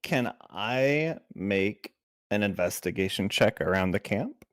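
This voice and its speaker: A man's voice: lowish voice, lively intonation, slow, no room sound, clean studio sound. A man chatting casually as a player at a tabletop game, with a playful, wry manner.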